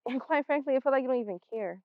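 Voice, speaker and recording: A woman's voice: high voice, animated, racing, dry recording, phone-quality sound. A woman with an American accent playing a defiant, sullen sixteen-year-old daughter in a stage play, blunt and sulky.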